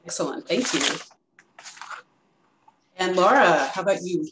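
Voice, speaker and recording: A woman moderating a panel over a video call, brisk and encouraging. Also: fairly low pitch, expressive, fairly bright tone. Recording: echoey room, faint hiss.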